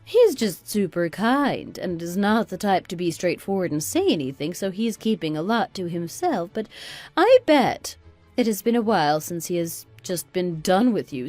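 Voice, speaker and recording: Casting: spiteful mother-in-law, early sixties, played by a woman, swooping pitch, condescending, radio drama, close dry sound over music. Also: darkish tone, dry recording, lightly acted. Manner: berating, condescending, dramatic exclamations. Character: spiteful, self-righteous 62-year-old mother-in-law, scolding. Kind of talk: scripted radio drama dialogue.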